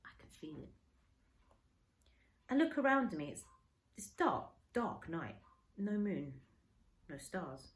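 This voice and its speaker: A woman reading a children's story aloud like a teacher, with expressive, varied emphasis. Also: lowish voice, slow pace, long pauses. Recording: roomy, echoey indoor sound.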